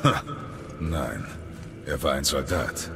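A man playing a stoic Spartan warrior father in a game-based audio drama, speaking gravely in terse, measured phrases. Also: a bass voice, lively intonation, noisy background, heavily acted. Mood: grave, curt. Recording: music behind the voice.

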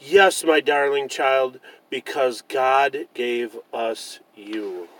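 A man voicing a loving, gentle mother bear who reassures her cub in a children's storybook. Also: mid-range voice, very slow, low-quality recording. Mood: tender.

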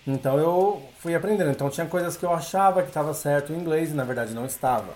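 A middle-aged man from Brazil, a guest on a podcast, telling his story in a relaxed way with many filler tags. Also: fairly high pitch, quick pace. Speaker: guest, English teacher. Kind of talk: casual podcast interview.

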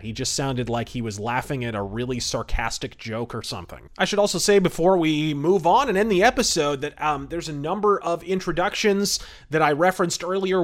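A man hosting a podcast, giving casual, enthusiastic commentary in an emphatic, conversational way. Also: on the high side. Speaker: podcast host.

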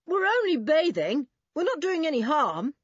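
A woman reads as the sensible eldest boy in a children's adventure. He reasons earnestly and questions things, in a husky voice with lively pitch. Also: moderate pace, dry recording, lo-fi sound, heavily acted. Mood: calling, protesting.

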